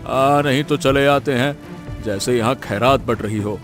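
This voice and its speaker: A man's voice: medium pitch, unhurried, faint music behind, close to an everyday voice. A man voicing a callous, greedy village healer in a Hindi cartoon story, drawling and hemming with a dismissive sneer. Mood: scornful.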